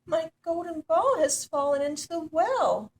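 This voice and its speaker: A woman's voice: extremely high pitch, big pitch swings, unhurried, darkish tone, slight background noise, voice pushed up, full character voice. A woman voicing a young, spoiled storybook princess, airy and dramatic. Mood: upset.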